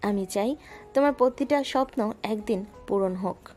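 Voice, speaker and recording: A woman narrating a romantic audio drama in a calm, steady storytelling voice. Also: medium pitch, moderate pace, soft background music.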